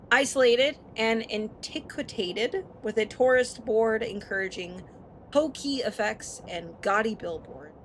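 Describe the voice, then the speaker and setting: A woman's voice: mid-range voice, a bit bright, slight background noise. A woman live-streaming, reading a story aloud with casual, playful and sometimes profane asides. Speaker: streamer hosting a visual novel read-aloud.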